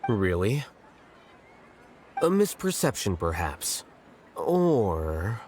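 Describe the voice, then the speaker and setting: An actor playing an aloof, blunt young scholar in a video game, deadpan and dryly condescending. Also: male voice, lowish voice, very slow, a little hiss or hum, exaggerated voice. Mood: flat questioning.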